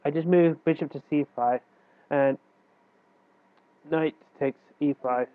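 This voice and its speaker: Male chess tutor with a head cold, nasal and a bit high, relaxed explaining manner, dry phone-like sound. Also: slow pace, no echo. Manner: loose, self-correcting explanation with fillers. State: stuffy with a cold. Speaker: chess teacher. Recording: tinny, dry phone-like recording.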